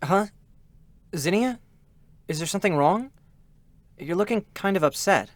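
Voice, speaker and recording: Boyish android, lightly high voice. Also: male voice, animated, measured pace, no echo. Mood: concerned. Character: boyish male android, gleefully bitter, mocking and unhinged. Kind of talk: dramatic game voice acting at a live reading.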